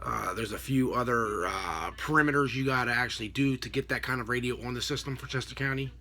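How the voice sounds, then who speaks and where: A middle-aged American man talks casually into a computer webcam in a room at home. His voice is bright and forward, at a middling pitch. He explains things off the cuff, halting and rambling as he goes. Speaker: vlogger, radio scanner hobbyist. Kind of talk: casual unscripted explanation to camera. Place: small home room with a desk, indoors. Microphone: computer webcam at desk distance.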